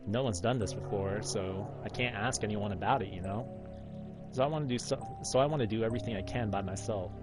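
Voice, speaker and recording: A man hosting a gaming playthrough, reading a visual novel aloud in a measured storytelling way and lightly acting out dialogue. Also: on the low side, over music. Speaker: gaming video host.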